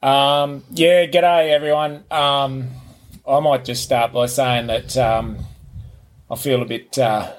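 A man's voice: mid-range voice, fairly even intonation, slow pace, a little hiss or hum. An easygoing Australian man in his forties telling his life story in a casual interview, rambling with many ums and restarts, recorded outdoors on a back veranda. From Newcastle, New South Wales, Australia. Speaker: podcast host being interviewed as guest.

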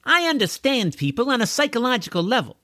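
Man doing a cocky, high-pitched female thief. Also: sing-song, fast, pushed and bright, no echo, studio quality, heavily acted. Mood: boastful. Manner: cocky, insistent. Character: brash, boastful young female thief.

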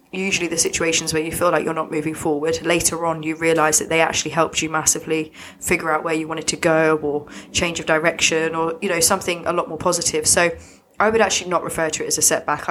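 A woman co-hosting a podcast, a little sniffly and husky from a cold, explaining her thoughts at length. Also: sits low, fairly bright tone, slight background noise. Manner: reflective, talks in long loose explanations. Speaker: podcast co-host. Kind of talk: casual podcast chat.

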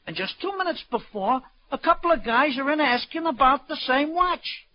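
An actor playing a slangy, streetwise auctioneer in a 1940s radio crime drama. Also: male voice, extremely high pitch, animated, normal speed. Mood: animated, recalling. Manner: colloquial slang, calls people sweetheart. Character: slangy, wisecracking Broadway auctioneer, streetwise man. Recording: dull, lo-fi old radio recording.

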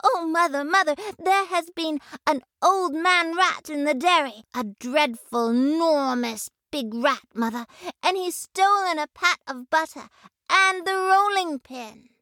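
A woman's voice: big pitch swings, takes it slowly, vocal fry, few pauses, pushed and bright, no room sound, studio quality, full character voice. A woman voicing a small, mischievous girl kitten. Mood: frightened. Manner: high pleading.

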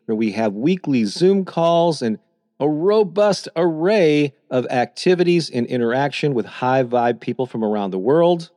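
A middle-aged man reading his own calm, encouraging self-help talk as a podcast host. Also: mid-range voice, no room sound, clean studio sound. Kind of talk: scripted motivational narration.

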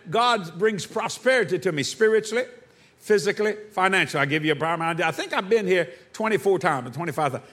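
Preacher man, high bright voice, call-and-response sermon, quizzes the congregation. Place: church.

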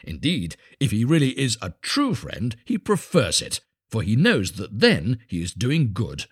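A man's voice: lowish voice, huge pitch swings, unhurried, breathy edge, exaggerated voice. A man voicing a pompous, self-serving miller in a storybook, preaching emphatically with false friendliness. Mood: pompous, scolding. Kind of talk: storybook character voice.

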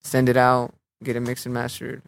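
A young rapper guest talking easily in a relaxed interview, full of casual slang, his sentences often sinking quietly at the end. His voice sits at an ordinary man's pitch. The sound is close and dry, with no room around it. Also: moderate pace, vocal fry, no echo. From Mexican heritage. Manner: laid-back, slangy, trails off softly at phrase ends.

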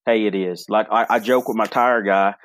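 A man talking as a podcast guest, telling stories in a relaxed, animated way with frequent restarts. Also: lowish voice, moderate pace, no room sound, clean studio sound. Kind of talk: casual storytelling interview.

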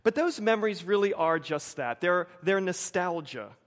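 An American man in his forties preaching a sermon with personal anecdotes, measured, with emphatic pauses and repeated phrases. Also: high voice, lively intonation. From United States, suburban Wilmington. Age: 40s.

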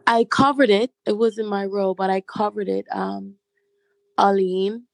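A young woman from Bermuda, a stage actress and guest on an interview podcast, talking in a relaxed, chatty way with a slightly hoarse voice. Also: medium pitch, measured pace, dry recording. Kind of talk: casual interview chat.

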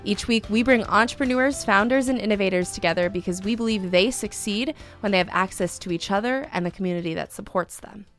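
A woman host reading a scripted introduction of a guest speaker in a measured way. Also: mid-range voice, soft background music.

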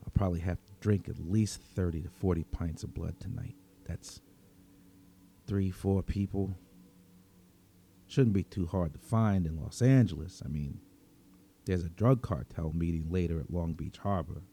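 A man narrating a dramatic story in the first person as a cynical, wry, menacing vigilante vampire, with sardonic, slangy delivery and dramatic pauses. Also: deep voice, gravelly, mellow, husky, heavily acted. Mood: weary, anticipating.

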